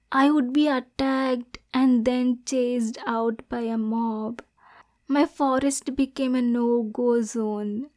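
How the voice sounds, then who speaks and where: A lovesick demon girl, played by a woman, in an intimate ASMR roleplay. Her voice is high and soft, with a mellow tone and little melodic swing. She speaks slowly and breathily, lonely and nervous yet possessive. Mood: wounded, sad.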